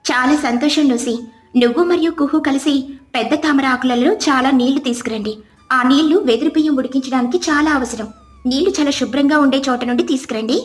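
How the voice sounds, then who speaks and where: A woman's voice: high voice, fast talker, reverberant, natural voice. A woman voicing a kindly female bird leader in a cartoon, encouraging and giving directions to others. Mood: directing.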